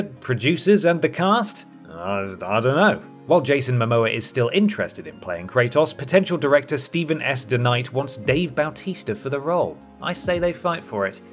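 A man presenting scripted countdown narration with wry, tongue-in-cheek asides. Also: mid-range voice. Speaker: presenter of a video game list show. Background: music bed.

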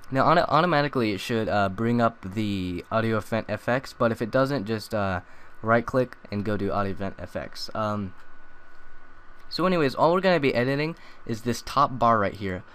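A young man talking through a software tutorial in a loose, rambling way, full of ums and uhs. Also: lowish voice, lively intonation, normal speed, clear, resonant tone. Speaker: tutorial maker. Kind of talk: casual screen-recorded tutorial.

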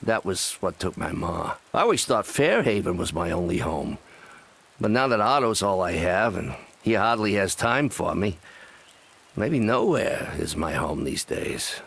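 Folksy old fisherman for a game, wistful, low voice that swings up and down a lot. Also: big pitch swings, a little slow, vocal fry, fairly bright tone, husky, full character voice. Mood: wistful, lonesome. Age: older. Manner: folksy, homespun phrasing. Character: lonesome old widowed fisherman, gentle and wistful. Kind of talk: video game voice acting.

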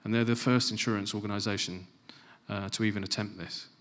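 Middle-aged guy on a big stage w handheld mic, lowish voice, punchy then trails off quiet. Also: fairly even intonation, fairly quick. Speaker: conference speaker. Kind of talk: conference presentation. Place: large conference stage. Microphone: handheld microphone.

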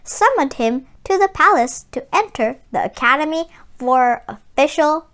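A woman reading a children's picture book aloud as host, with deliberate, sing-song storytelling phrasing. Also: high-pitched, lively intonation, a bit bright.

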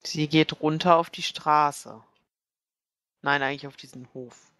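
A young woman plays a tabletop role-playing game. Her voice is fairly low and recorded dry and close. She casually describes her character's moves and talks things over with the others. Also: steady tone, measured pace, a bit bright, no room sound.

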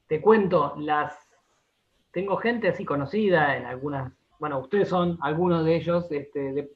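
Argentine guy getting interviewed, voice a bit high, keeps restarting phrases, kinda muffled sound. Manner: halting, circles back and repeats words as he explains. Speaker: interview guest, radio drama director and writer. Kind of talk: radio interview, conversational.

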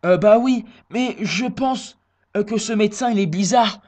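A man's voice: high voice, moderate pace, darkish tone, natural voice. A man playing a confused, stubborn elderly customer, hesitant and naive, in a prank phone call. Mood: naive, puzzled. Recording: phone line with noise.

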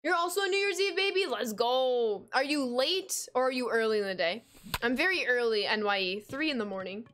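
A woman in her twenties streaming a video game from home, chatting casually with viewers, reading chat aloud and gasping and laughing in bursts of excitement. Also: a little high, brisk. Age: 20s. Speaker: gaming streamer. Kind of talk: casual live-stream chat while playing. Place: home room at a desk.

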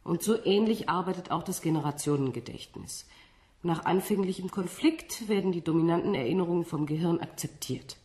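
An actress in a radio play, playing a composed woman scientist who explains things in a measured, slightly condescending way. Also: on the low side, darkish tone, slight background noise, natural voice. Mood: patient, superior. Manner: didactic, measured, with small rhetorical tags.

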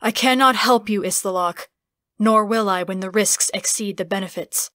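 A woman's voice: medium pitch, moderate pace, fairly bright tone, no echo, natural voice. A woman voicing an ancient, godlike spirit in a fantasy audiobook, imperious, weary and scornful. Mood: weary disdain. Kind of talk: fantasy audiobook character acting.

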